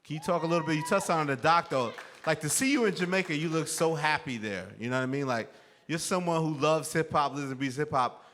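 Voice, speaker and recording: A man hosting an interview, relaxed and affirming, asking open questions and backing up his guest with short replies. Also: medium pitch. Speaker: interview host.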